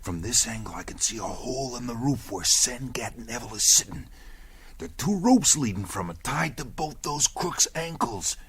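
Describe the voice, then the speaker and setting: An actor playing a loyal, excitable sidekick in an old-time radio adventure, eagerly reporting what he sees to his leader. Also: mid-range voice, normal speed. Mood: astonished.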